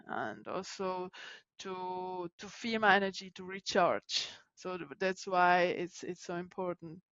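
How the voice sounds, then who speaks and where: Woman, Czech accent, interview guest, earnest, halting restarts, mid-pitched, dull dry sound. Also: fairly bright tone, no echo. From Czech Republic. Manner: earnest, searching for words with many restarts. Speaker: guest, LinkedIn personal brand coach. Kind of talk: interview answers.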